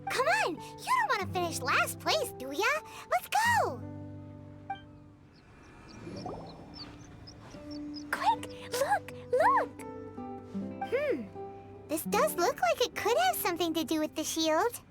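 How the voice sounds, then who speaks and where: A woman voicing an excitable, childlike little girl companion in a video game, bubbly, eager and exclamatory. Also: very high-pitched, measured pace, loud music behind the voice, heavily acted. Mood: excited, urging, then puzzled, curious. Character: excitable small childlike girl companion, speaks in third person.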